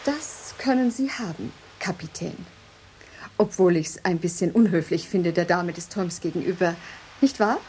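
An actress in a German radio drama playing a haughty, jealous lighthouse mistress, speaking in an imperious, formal and mocking way. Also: female voice, on the low side, normal speed, a little mellow, a little hiss or hum, natural voice. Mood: gracious, needling.

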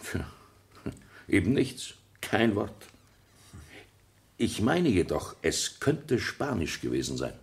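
A deep, animated voice for a subordinate police sergeant. He reports carefully and deferentially in a radio drama. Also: slow pace, gravelly, pauses often, heavily acted. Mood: unsure, deferential. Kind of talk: German radio play acting.

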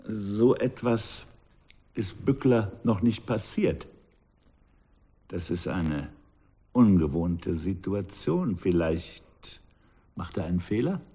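An older man acting a shrewd, patient former pastor in a German radio drama, speaking in a measured, deliberate way. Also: sits low, animated, mellow, breathy edge, heavily acted. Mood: calm, calculating. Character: ponderous, shrewd former pastor turned investigator.

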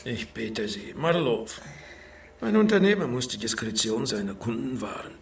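A condescending criminal go-between in a radio play, formal and slippery. His creaky voice moves animatedly and sounds set back from the microphone. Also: mid-range voice, lively intonation, normal speed. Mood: haughty, evasive. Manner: haughty, formal, evasive. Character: smooth-talking male underworld middleman, haughty and discreet. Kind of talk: noir radio drama acting. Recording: distant mic with background noise.

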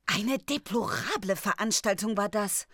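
A woman's voice: medium pitch, a little slow, loud music behind the voice, natural voice. An actress playing a clever, earnest and spirited young female intellectual in a radio drama. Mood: wry. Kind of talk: German radio drama acting.

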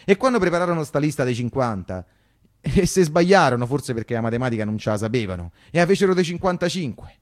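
A man's voice: mid-range voice, animated, fast. A man with a Roman accent performing a theatrical first-person monologue in colloquial dialect, repeating phrases emphatically like a popular storyteller. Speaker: actor-narrator.

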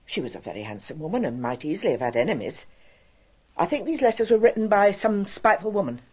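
A woman playing a brisk, reserved, frank spinster assistant in a radio drama, with an English accent and a husky, matter-of-fact delivery. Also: lowish voice, animated, darkish tone.

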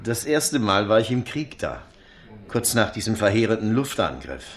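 A man's voice: lowish voice, sing-song, normal speed, a crowd in the background. An actor in a 1970s German radio play voicing a courteous, formal middle-aged businessman who speaks politely and a little hesitantly. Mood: courteous, genial. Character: courteous aging businessman, formal, hiding his unemployment.

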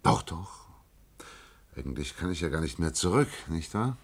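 A weary inventor in a radio drama, with an extremely deep and husky voice. He is laconic and wry, delivering his lines with resigned irony. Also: expressive, normal speed. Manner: laconic, ironic, understated. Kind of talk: German radio play acting.

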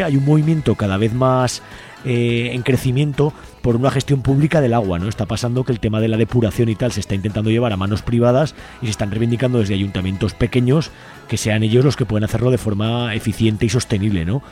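A man's voice: medium pitch, fast talker, mellow. A man explaining things in a radio interview, often ending statements with a questioning tag. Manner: tags statements with questioning 'no?'. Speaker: environmental activist guest.

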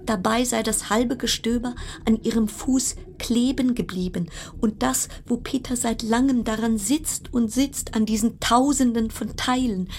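A woman's voice: on the high side, unhurried, a little mellow, a little hiss or hum. An actress in a radio drama playing a wry, anxious cruise passenger stranded at sea, telling her story in literary, broken-off sentences. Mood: dramatic, wry reporting. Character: weary woman passenger stranded on plague-stricken cruise ship, wry, anxious.

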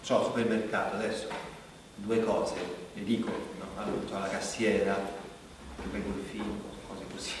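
A man's voice: fairly low pitch, level tone, moderate pace, natural voice. An actor playing a chatty, watchful everyday man in a rambling, fragmented conversational stage monologue. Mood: musing, observant. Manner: rambling, fragmented, tagging phrases with questions. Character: watchful, chatty everyday supermarket shopper, musing. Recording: distant echoey hall, clear background noise.

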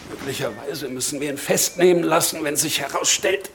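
A man playing a worldly, blunt revolutionary statesman in a radio drama, condescending and swinging from quiet to forceful. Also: fairly high pitch, moderate pace, gravelly, crowd noise. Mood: condescending, patient.

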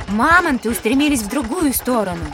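A woman's voice: a little high, expressive, brisk, music in the background, lightly acted. A woman playing an eager, attentive child who helps tell a story in a children's educational audio drama.

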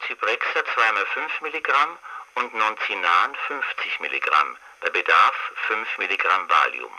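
An actor in a radio drama playing a clinical official who reads medical reports in a clipped, formal manner. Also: male voice, lowish voice, expressive, normal speed, bright, forceful tone, full character voice, poor audio. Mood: matter-of-fact.

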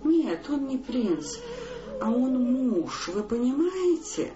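An actress playing a capricious, aggrieved diva wife, mannered and sing-song, with drawn-out words in a radio play. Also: female voice, high-pitched, big pitch swings, exaggerated voice. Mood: capricious, tearful scorn. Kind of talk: theatrical monologue, radio play. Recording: dull echoey recording over loud opera music.

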